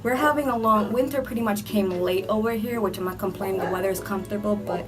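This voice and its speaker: A woman's voice: mid-range voice, quick pace. A young woman doing a casual makeup tutorial, chatty and rambling with lots of "like", a little under the weather with a cold. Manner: chatty and rambling, heavy use of "like". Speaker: beauty vlogger. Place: indoor room under hot filming lights. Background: background music.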